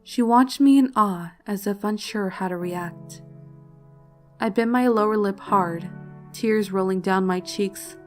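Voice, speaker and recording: A woman's voice: medium pitch, a little slow. Woman narrating a solemn, emotional story. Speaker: fan fiction narrator. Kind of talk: dramatic first-person story narration. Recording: faint music behind the voice.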